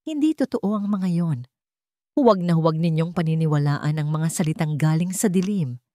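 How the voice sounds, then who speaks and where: Low, protective Filipino mother played by a woman. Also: normal speed, mellow, no echo, studio quality, natural voice. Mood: trembling, firm. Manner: tender, emphatic reassurance. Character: protective, resolute mother of ten, gently firm.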